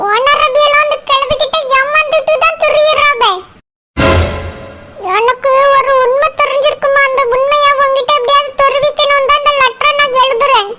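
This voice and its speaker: A woman's voice: very high-pitched, bright, forceful tone. A woman voicing a frightened, secretive injured girl in a cartoon, with a Kanyakumari Tamil accent. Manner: fearful, whispering to herself. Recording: echoey, distant, very noisy.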